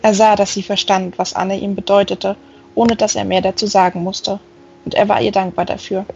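A woman's voice: medium pitch, level tone, moderate pace, creaky, a little mellow, highs cut off, slight background noise. A woman reading a fantasy novel aloud as literary audiobook narration. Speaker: audiobook reader.

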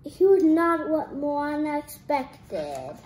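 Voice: high voice, sing-song, takes it slowly, darkish tone. A young-sounding reader reading a storybook aloud carefully, restarting phrases after stumbles, in an echoey room.

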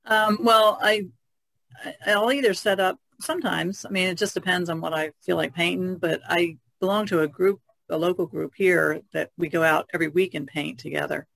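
A woman's voice: fairly low pitch, steady tone, normal speed, vocal fry, fairly bright tone, dry recording, low-quality recording. A woman who paints, chatting casually as a guest on a podcast. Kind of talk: casual chat.

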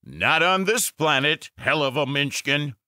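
Audiobook role: exasperated chief executive, man performing, forceful and tense, drawn-out delivery. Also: on the high side, pitched above the performer's own voice. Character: panicked foul-mouthed male company chief executive.

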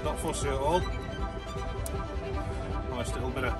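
A man's voice: medium pitch, measured pace, loud music behind the voice. A man hosting an angling vlog, talking in a casual, colloquial, easygoing way. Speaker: angling vlogger and host. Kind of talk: casual vlog chat and narration.

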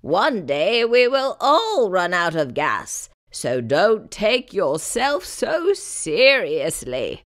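A woman voicing a stern, wise old cartoon fart judge in a measured, admonishing manner for a storybook. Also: mid-range voice, big pitch swings, a bit bright, pitched below the performer's own voice, full character voice.

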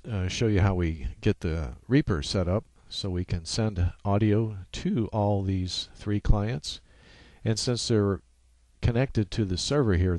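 A man giving a casual, unscripted software walkthrough in halting steps, speaking into a USB microphone. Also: low voice, no room sound. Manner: halting, unscripted step-by-step explaining. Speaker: software tutorial presenter. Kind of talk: casual screen-capture tutorial narration.

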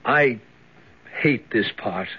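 A man's voice: mid-range voice, expressive, very slow, breathy edge, exaggerated voice. An actor in a 1970s radio drama playing a glum, reluctant henchman who speaks in a terse, downbeat way. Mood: glum, doubtful. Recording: dull vintage radio recording.

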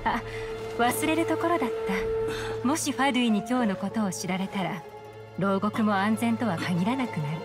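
An actress playing a composed, shrewd covert operative in a game, calm and measured with a faint edge of threat. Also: female voice, mid-range voice, lightly acted. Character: cool, composed female covert operative, shrewd and self-assured. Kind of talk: Japanese game voice acting.

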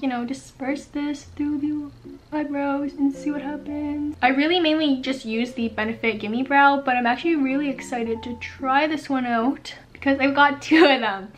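Bubbly high-voiced young woman vlogger. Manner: bubbly, chatty, lots of fillers and little laughs. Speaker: beauty vlogger. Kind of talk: casual makeup tutorial chat. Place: small bedroom, indoors. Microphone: camera on a tripod at arm's length.

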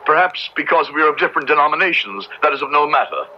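An actor playing a solemn, conscientious Puritan minister with measured, formal diction in an old-time radio drama. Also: male voice, fairly high pitch, lively intonation. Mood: earnest. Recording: dull, noisy old radio drama recording.

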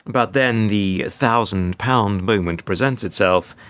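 An actor in a radio play playing a world-weary, put-upon hotel receptionist who talks in a wry, resigned, long-winded formal way. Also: male voice, fairly low pitch, slow pace, a bit bright, dry recording. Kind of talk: radio play monologue and dialogue.

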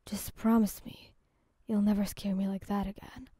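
A woman's voice: mid-range voice, flat delivery, moderate pace, dark tone, husky, no echo, studio quality, close to an everyday voice. A woman voicing a fierce, protective young man in a story, terse and intense. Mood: tender, intense.

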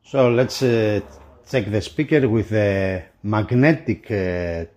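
A male reviewer talks through an unboxing in a lowish, mellow voice. His delivery is very slow, with halting, broken phrasing as he describes what he handles. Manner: halting, broken phrasing in non-native English. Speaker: product reviewer for a magazine. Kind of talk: unboxing commentary.

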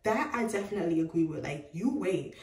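A woman in her twenties talking casually to the camera, chatty and animated as she gestures with her hands. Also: fairly low pitch, a little fast. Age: 20s. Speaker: beauty vlogger. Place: small room indoors by a doorway. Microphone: camera at a distance. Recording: roomy, slightly distant sound.

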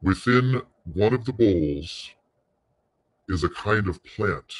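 Male meditation narrator, low register, very slow delivery, soothing and unhurried, short phrases with pauses. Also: lively intonation. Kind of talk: guided meditation.

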